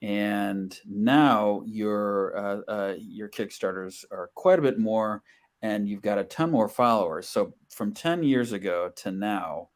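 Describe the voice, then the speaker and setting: A man's voice: fairly low pitch, slow. A man co-hosting a casual interview, building up a question for the guest. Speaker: co-host interviewer.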